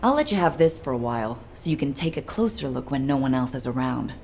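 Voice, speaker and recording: A low, gravelly young woman, speaking as a candid, hands-on ally in a video game. She talks in a confiding, down-to-earth way, with faint noise behind her. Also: expressive, fast talker, a little mellow. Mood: urgent, confiding.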